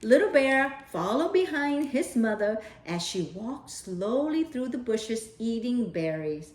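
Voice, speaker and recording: Slow, expressive woman reading a picture book. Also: a little high. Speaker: storyteller. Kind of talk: children's picture-book read-aloud. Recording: roomy echo.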